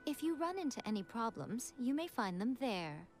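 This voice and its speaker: A woman's voice: on the high side, sing-song, normal speed, darkish tone, slight background noise. An actress playing a sly, refined woman publisher who talks in a teasing, poised way. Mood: brisk.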